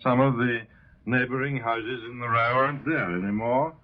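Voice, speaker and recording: A man's voice: medium pitch, moderate pace. A man with a British accent presenting scripted narration in 1940s radio style. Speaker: broadcast presenter. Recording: dull lo-fi 1940 radio recording.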